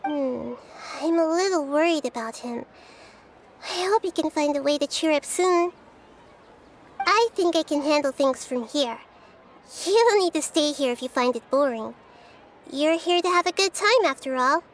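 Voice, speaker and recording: A woman voicing a gentle, wise, childlike girl deity in an anime game, speaking thoughtfully and earnestly. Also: high-pitched, expressive, normal speed, slight background noise. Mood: worried, kind. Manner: soft-spoken, thoughtful, earnest.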